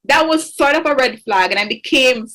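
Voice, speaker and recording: A woman's voice: high-pitched, expressive, normal speed, fairly bright tone. A woman in her twenties from Jamaica, a guest in an interview, speaking earnestly with frequent fillers and restarts. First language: English. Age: 20s. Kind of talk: interview conversation.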